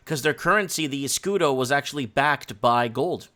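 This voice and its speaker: A man's voice: medium pitch, normal speed, sharp tone. Casual male livestream host, mid-range voice. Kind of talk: casual live chat.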